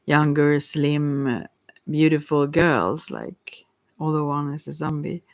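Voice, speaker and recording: Casting: woman for improvised tarot ASMR; gravelly fry, very dry recording, meandering unscripted delivery. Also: deep voice, slow, dry recording. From Sweden. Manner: hesitant, rambling, often trailing off. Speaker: tarot reader, ASMR creator. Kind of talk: ASMR tarot reading, casual improvised chat.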